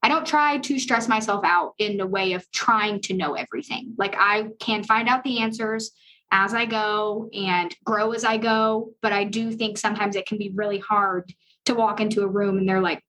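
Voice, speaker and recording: A young woman with a high-pitched voice talking in an upbeat, earnest and chatty way as a guest on a podcast. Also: normal speed, pushed and bright, poor audio. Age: young adult. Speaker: loan officer guest. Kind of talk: casual podcast conversation.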